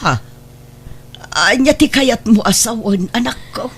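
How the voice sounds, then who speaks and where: A woman's voice: on the high side, unhurried, a little hiss or hum. A woman playing a doting, forgiving older mother and grandmother in an audio drama, speaking in a reassuring, motherly way. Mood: surprised, hopeful. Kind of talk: melodramatic audio drama acting.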